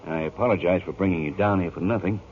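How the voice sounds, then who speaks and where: An actor playing a composed, probing police inspector, polite but skeptical and authoritative, in 1940s radio noir style. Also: male voice, deep voice, fast talker, lightly acted. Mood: polite, businesslike. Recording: muffled, noisy old radio broadcast.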